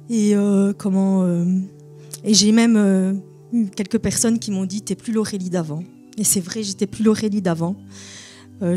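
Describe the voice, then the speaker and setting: Woman in her 30s telling her faith story in church, hesitant, lots of ums, soft music behind. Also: medium pitch. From Italian family background. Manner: earnest, hesitant with many fillers. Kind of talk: church testimony.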